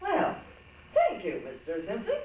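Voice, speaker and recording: A woman on stage plays a prim, self-righteous older teacher who talks down to people in a brisk, proper way. Her intonation swings widely, carried from afar through a very reverberant hall with faint noise. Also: a little high, wildly swooping pitch, darkish tone. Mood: politely puzzled. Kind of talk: stage reader's theatre acting. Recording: echoey hall from far off, hissy.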